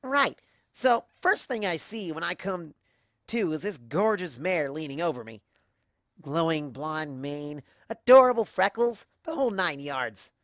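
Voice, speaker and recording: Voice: mid-range voice, lively intonation, unhurried, fairly bright tone, no room sound, studio quality, pitched above the performer's own voice. A performer voicing a brash, playful young female pony in storybook narration, with a swaggering, teasing manner. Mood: excited bragging.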